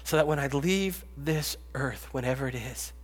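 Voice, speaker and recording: A man in his fifties preaching a sermon, rising to loud pleading and dropping to hushed whispers. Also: medium pitch, normal speed, slightly rough. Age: 50s. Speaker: pastor. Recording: long reverb, echoey hall.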